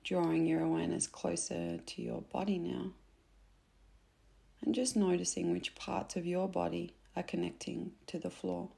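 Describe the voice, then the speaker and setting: A woman guiding a meditation in a soft, gentle, unhurried way, with phrase endings that turn breathy. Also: lowish voice, flat delivery. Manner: gentle, unhurried, instructing softly with pauses. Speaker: meditation guide. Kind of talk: soothing guided meditation narration.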